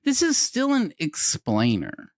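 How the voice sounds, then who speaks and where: A man's voice: sits high, huge pitch swings, slow pace, dry recording, clean studio sound. A man in his forties co-hosting a podcast, talking in a casual, rambling way with lots of swearing and laughter. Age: 40s. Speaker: podcast co-host. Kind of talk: casual chat.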